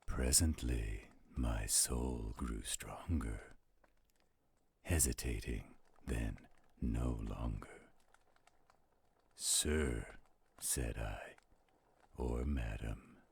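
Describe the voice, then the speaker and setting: A man voicing a slow-spoken werewolf who solemnly recites gothic poetry in an audio roleplay, with deliberate, gravelly delivery. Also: a bass voice, a little mellow, exaggerated voice. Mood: brooding, solemn. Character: slow-spoken male werewolf reading a poem by campfire.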